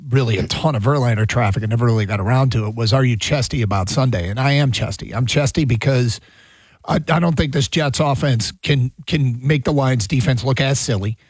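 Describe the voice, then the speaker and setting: A man's voice: medium pitch, brisk, breathy edge, no room sound. A man hosting a sports talk show, chatting in a thoughtful, rambling way. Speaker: sports radio host.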